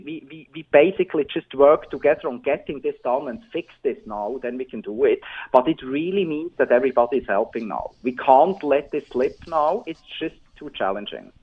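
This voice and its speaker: A man's voice: medium pitch, slightly rough, dull audio. A man with a Swiss accent explaining things emphatically as a medical expert in a radio interview. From Switzerland. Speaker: doctor and public health adviser interviewed as expert.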